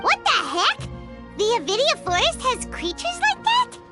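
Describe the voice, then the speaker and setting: Squeaky, very high childlike fairy girl. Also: huge pitch swings, unhurried, loud music behind the voice, full character voice. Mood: curious, surprised. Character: tiny floating childlike girl companion, curious and excitable. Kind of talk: anime game voice acting.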